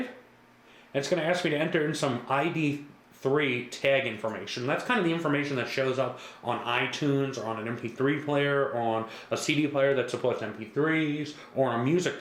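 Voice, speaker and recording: A man giving a casual, reassuring step-by-step software tutorial as a product demonstrator. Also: mid-range voice, fairly quick. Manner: casual, repetitive, reassuring instructor. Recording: echoey room with faint background noise.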